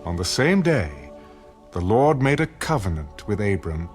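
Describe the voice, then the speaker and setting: A man's voice: on the low side, sing-song, measured pace, a little mellow. A man narrating a dramatized scripture in a solemn storytelling style. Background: music.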